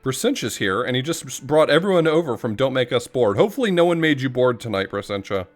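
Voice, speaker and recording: A man's voice: medium pitch, sing-song, quick pace, soft background music. A man hosting a live stream, reacting to gameplay with dry, sarcastic humor. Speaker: streamer host. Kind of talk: casual stream chat over gameplay.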